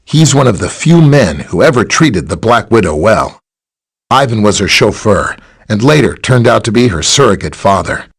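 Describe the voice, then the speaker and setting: Lively, husky superhero scientist. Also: male voice, fairly low pitch, moderate pace, heavily acted. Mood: matter-of-fact. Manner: casual, conversational, explanatory. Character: friendly, knowledgeable male superhero scientist, easygoing ally. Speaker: video game voice actor playing a superhero scientist. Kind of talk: video game character dialogue, briefing and greeting.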